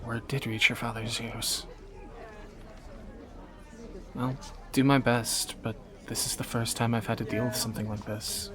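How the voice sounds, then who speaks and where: A man's voice: medium pitch, natural voice. A man playing a wry young thief in a romantic audio roleplay, teasing and sardonic in a conversational way, anxious yet affectionate. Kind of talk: scripted romantic audio roleplay, spoken to the listener.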